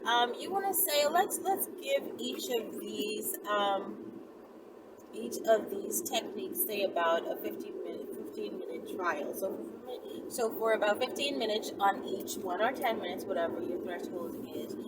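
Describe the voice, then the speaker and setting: A woman's voice: mid-range voice, animated, a little slow. A woman talking casually as an online host answering viewers, informal, sassy and emphatic, repeating herself to make a point. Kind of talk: casual chatty vlog talk. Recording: distant mic, loud vehicle-like background noise.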